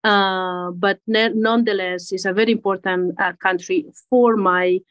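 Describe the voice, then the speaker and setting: A woman's voice: mid-range voice, steady tone, moderate pace, fairly bright tone. A woman in her forties from Spain with a Spanish accent, answering interview questions as a company executive, carefully repeating words as she searches for phrasing. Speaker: corporate executive guest.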